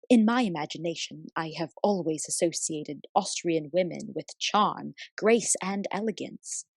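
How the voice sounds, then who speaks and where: Female detective's assistant narrating, formal, measured, observant, lowish pitch, dry recording, radio play. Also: no echo. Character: observant female police assistant recounting the case. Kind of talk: scripted story narration.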